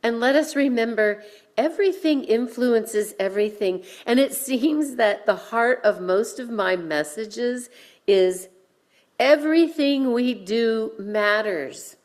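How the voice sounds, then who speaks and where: A woman minister delivering a sermon with measured, deliberate phrasing and pauses, guiding listeners in a reflective way. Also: fairly high pitch, a little mellow. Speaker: minister leading a worship service. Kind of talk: sermon with guided breathing.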